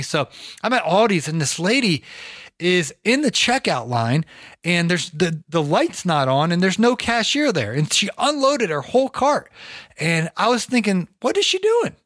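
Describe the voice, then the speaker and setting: A hoarse man hosting a podcast, chatting energetically and telling rambling stories. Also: on the high side, a bit bright, dry recording, studio quality. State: hoarse from recording. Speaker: podcast host. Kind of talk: casual podcast chat.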